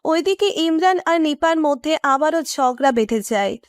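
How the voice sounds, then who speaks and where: High-pitched woman narrating a story. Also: lively intonation, moderate pace, no room sound, clean studio sound.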